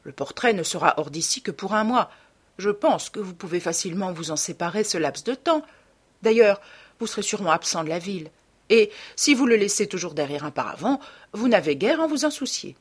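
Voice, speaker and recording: Male painter voiced by a woman, earnest, courteous, questioning, husky, audiobook dialogue, devoted friend. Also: medium pitch, animated, fairly quick. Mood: casual.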